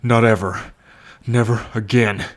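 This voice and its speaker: Slow, earnest bull man played by an actor. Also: lowish voice, fairly even intonation, lightly acted. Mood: grave resolve. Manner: earnest, tender, halting delivery with pauses. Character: gentle, devoted bull man with a violent past. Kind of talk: ASMR roleplay addressed to the listener.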